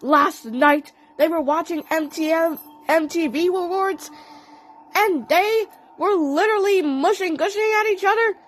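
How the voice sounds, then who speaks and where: A woman's voice: very high voice, unhurried, a little hiss or hum. A woman voicing a grumpy, squeamish cartoon cat with a dramatic, grumbling delivery in an audio play. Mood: appalled.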